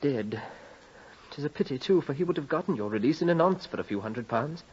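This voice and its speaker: An actor playing a scheming, nervous young heir with a hesitant manner, in old-time radio drama style. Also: male voice, a little high, animated, fairly quick, darkish tone, husky. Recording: muffled vintage radio recording.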